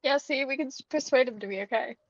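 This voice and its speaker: A woman chatting casually as a player in a tabletop roleplaying game, animated and self-deprecating. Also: high-pitched, quick pace, dry recording, highs cut off. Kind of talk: casual improvised roleplay chat.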